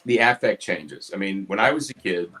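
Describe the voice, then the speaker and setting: A man in his seventies from New York City with a New York accent, talking casually about his craft in his home studio, quick and succinct, hitting consonants hard. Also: low-pitched, lively intonation, bright, forceful tone, poor audio. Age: 70s. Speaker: guest artist. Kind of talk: casual studio chat while drawing.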